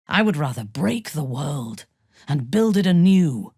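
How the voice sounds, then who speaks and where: A woman playing an imperious, ruthless dark elf warrior in a fantasy game, haughty and menacing, with a husky, breathy voice and measured delivery. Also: low voice, big pitch swings, dry recording, clean studio sound, full character voice. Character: imperious, ruthless female drow warrior and cult commander. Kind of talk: dramatic fantasy game acting.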